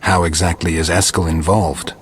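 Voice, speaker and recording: A man playing a stoic, terse monster hunter in a video game, speaking in a dry, questioning way with a husky rasp. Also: sits low, level tone, normal speed, a little hiss or hum, natural voice. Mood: terse, reserved. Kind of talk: video game dialogue acting.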